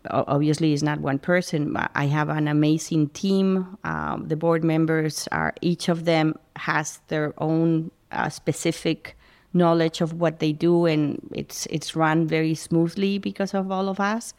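A middle-aged woman from Spain, a food pantry founder chatting as a guest in a halting, restarting way. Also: lowish voice. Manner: warm, halting, many restarts. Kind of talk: casual interview talk.

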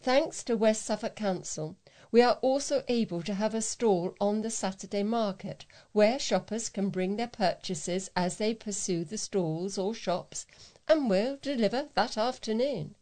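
Woman, volunteer newsreader, read-aloud local news, average pitch, careful delivery. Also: measured pace, mellow.

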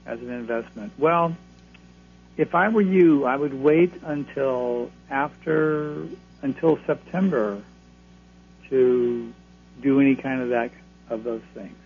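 A man's voice: mid-range voice, takes it slowly, vocal fry, faint music behind. A man giving astrology readings to radio callers in a reassuring, advisory way. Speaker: astrologer guest. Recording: phone line, faint hum.

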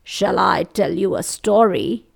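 A woman voicing an ancient, wise grandfather stone in a storytelling tale, with an old rumbling voice and slow, solemn delivery. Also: vocal fry, heavily acted. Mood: inviting, mysterious.

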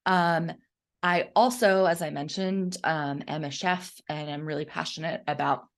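A woman with a slightly low voice talks casually on a podcast about her team's work. She speaks as a course manager, in a supportive, agreeable manner. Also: vocal fry. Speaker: podcast team member, online course manager. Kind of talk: casual behind-the-scenes podcast chat.

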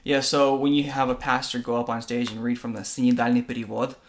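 A man talking through church tradition in a thoughtful, explanatory way. He keeps checking in with his co-host with a quick "right?" His voice sits at an ordinary middle pitch. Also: little pitch movement, slight background noise. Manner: earnest, explanatory, asks rhetorical "right?". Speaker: podcast co-host. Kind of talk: conversational discussion on faith and church.

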